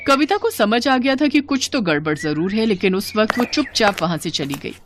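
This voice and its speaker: A woman's voice: mid-range voice, animated, normal speed, background noise. A woman narrating a scripted moral story as a storyteller.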